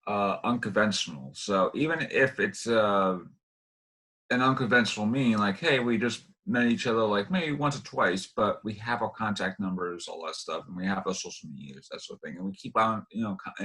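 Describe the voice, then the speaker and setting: A man hosting a casual interview in a halting, rambling way. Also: lowish voice, pushed and bright. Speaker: interview host.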